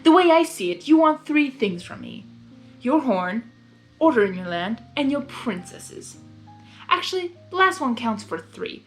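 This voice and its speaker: A woman's voice: high voice, big pitch swings, unhurried, faint music behind, voice pushed up. Woman acting a sarcastic, smug male chaos villain. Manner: sarcastic, drawling, theatrical. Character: sly, mocking male chaos creature villain, theatrically smug. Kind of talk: fan fiction character reading.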